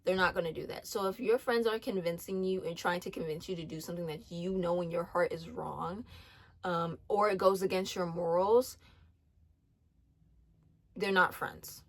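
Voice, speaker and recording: A woman's voice: fairly low pitch, steady tone, normal speed. A twenty-year-old woman giving casual faith-based advice, earnest, with many ums, restarts and repeated phrases. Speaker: faith vlogger.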